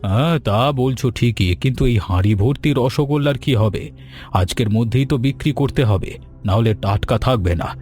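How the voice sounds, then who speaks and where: A man's voice: mid-range voice, brisk, a little mellow, faint music behind, close to an everyday voice. A man voicing a worried, gloomy middle-aged village sweet-shop owner, lamenting in a cartoon story. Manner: fretful, lamenting.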